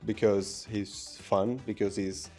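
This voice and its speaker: A man's voice: fairly low pitch, flat delivery, takes it slowly, mellow, faint music behind. A man answering casual interview questions as a member of staff.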